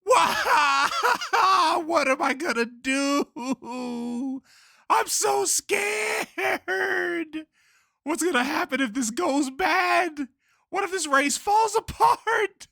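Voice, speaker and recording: Male actor as older showman promoter for animated dub: bossy, theatrical, highly animated delivery, bright forceful edge. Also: extremely slow, creaky, dry recording, studio quality, exaggerated voice. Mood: panicked. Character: blustering older male race promoter, secretly fearful showman. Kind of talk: comic-book dub voice acting.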